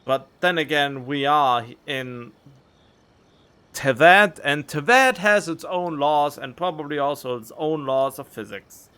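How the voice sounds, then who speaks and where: Slow, musing male game streamer. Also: fairly high pitch, pushed and bright, clear, resonant tone. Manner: musing, chatty, thinks aloud. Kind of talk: casual let's-play commentary. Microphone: desktop USB mic. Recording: game music in background.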